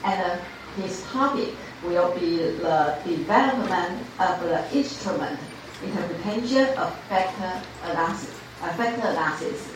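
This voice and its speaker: A woman's voice: lowish voice, expressive. Woman introducing a speaker, echoey hall. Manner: halting, with many fillers. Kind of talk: formal introduction. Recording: echoey hall, distant mic, noise.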